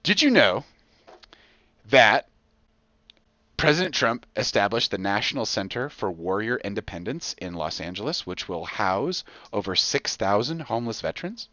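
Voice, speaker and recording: An American man hosting a casual comedic podcast, talking in an excitable, profane way with punchy repetition. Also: on the low side, normal speed, no room sound. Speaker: podcast host.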